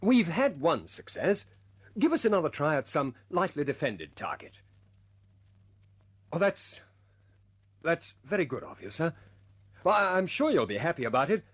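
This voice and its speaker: Old radio war drama: earnest squadron leader, higher-pitched, husky, brisk and good-humoured. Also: male voice, very animated, a bit bright, full character voice. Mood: earnest, persuasive. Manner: hesitant stammers when pressing a point, otherwise commanding. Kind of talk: vintage radio drama acting.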